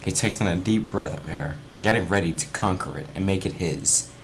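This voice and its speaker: A man's voice: low-pitched, a little fast, creaky. A man reading aloud and talking casually in an amateur, sweary way over a game voice chat. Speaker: fan fiction reader. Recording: noisy voice chat recording.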